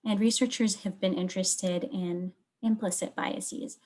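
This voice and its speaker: A woman from Lebanon presents research as an academic, in a mid-range voice. She explains things in short, measured phrases. Key words get clear emphasis as she walks through her slides. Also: mid-range voice, fairly even intonation, darkish tone.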